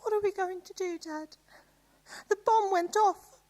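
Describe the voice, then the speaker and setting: Woman playing a high-pitched, frightened young daughter. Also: measured pace, dark tone. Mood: panicked. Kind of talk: radio play acting.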